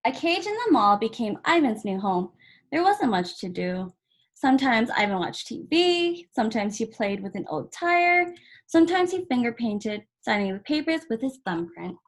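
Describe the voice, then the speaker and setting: A woman with a fairly high voice reads a picture book aloud for children. She works through the story in measured, short phrases, leaving small pauses between them. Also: very animated. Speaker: volunteer story reader.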